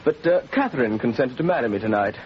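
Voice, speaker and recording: Male actor as polite young gentleman secretary for radio play; mid register, hesitant, muffled and clearly noisy old recording. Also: medium pitch, expressive, fast, natural voice. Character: courteous young secretary, newly engaged, restrained. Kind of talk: 1940s radio acting.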